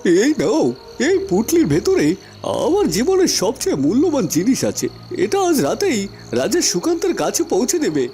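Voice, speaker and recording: Man acting a scheming royal minister, animated. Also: extremely high pitch, normal speed, soft background music, exaggerated voice. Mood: urgent. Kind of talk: Bengali fairy-tale cartoon dialogue.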